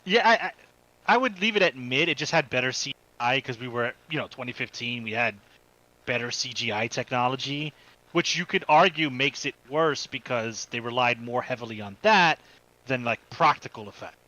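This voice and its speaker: A man's voice: mid-range voice, lively intonation, moderate pace, pushed and bright, rough recording. A man co-hosting a podcast, giving casual, conversational explanations and commentary. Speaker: podcast co-host. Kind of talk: casual chat, movie commentary.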